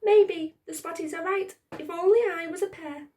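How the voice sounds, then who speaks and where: Woman voicing a small wistful boy sock, high and plaintive. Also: steady tone, normal speed, mellow, recorded from a distance. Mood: wistful, sad. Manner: plaintive, earnest. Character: small wistful male odd sock, eager and nervous. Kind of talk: children's picture book read aloud.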